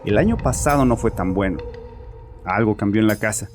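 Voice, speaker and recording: A bitter, self-justifying father played by a male actor confesses his troubles in a horror audio drama. His low voice is sardonic and defensive, with music behind it. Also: fairly quick, a little mellow, lightly acted. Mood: uneasy.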